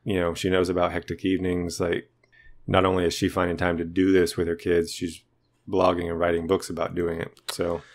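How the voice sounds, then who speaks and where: A man with a naturally deep voice, co-hosting a podcast in a rambling, self-deprecating chat. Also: monotone, brisk. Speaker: podcast co-host. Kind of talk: casual chat.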